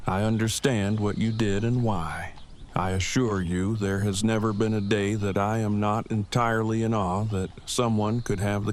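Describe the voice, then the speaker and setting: A man's voice: on the low side, slight background noise, close to an everyday voice. A man playing a devoted husband and father in an audio drama, speaking in wordy, formal, earnest sentences. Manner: wordy, formal, stilted phrasing. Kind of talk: fan audio drama acting.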